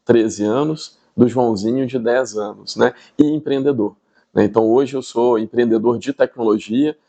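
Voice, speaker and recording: Man, middle-aged, Minas Gerais Brazilian accent, creaky voice, conversational interview guest, explanatory, tag questions. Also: mid-range voice, moderate pace, mellow. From Espírito Santo, Brazil. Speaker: podcast guest, tech entrepreneur.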